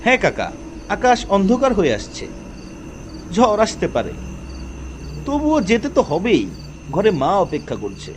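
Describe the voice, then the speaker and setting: A polite young village son from a spooky audio story, acted by a man. His voice sits high and swings in a lively way. Faint night noise with crickets runs behind him. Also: normal speed, long pauses. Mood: polite, earnest.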